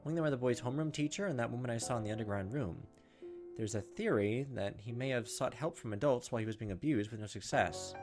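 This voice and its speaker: A man's voice: mid-range voice, quick pace. A man hosting a gaming video, reading on-screen text aloud and adding flippant commentary. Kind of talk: let's-play commentary mixed with reading game text aloud. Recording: game music behind the voice. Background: video game music.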